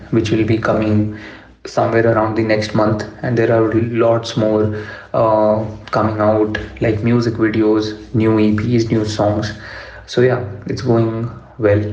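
A man's voice: fairly low pitch, darkish tone, roomy sound, highs cut off. A man, a rapper answering interview questions in a rambling, hesitant way with many fillers. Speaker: rapper, podcast guest.

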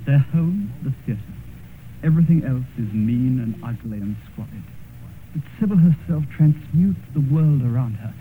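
An actor playing a naive, petulant young gentleman in love in an old radio drama, earnest, intense and theatrical. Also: mid-range voice, lively intonation, moderate pace, close to an everyday voice. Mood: rapturous. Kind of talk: 1950s radio drama acting. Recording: muffled, hissy old radio broadcast.

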